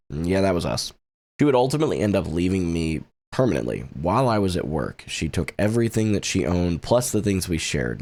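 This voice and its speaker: Deep-voiced man telling his life story. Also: a little mellow. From United States. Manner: earnest and reflective, with light self-deprecating humor. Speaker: streamer sharing his testimony. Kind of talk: personal testimony monologue.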